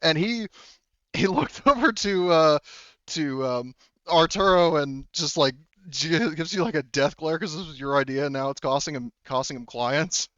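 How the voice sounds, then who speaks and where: Smooth-voiced man running a game. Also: on the high side, moderate pace, a bit bright, no room sound, muffled recording. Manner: casual and profane. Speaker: game master. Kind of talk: improvised tabletop game narration.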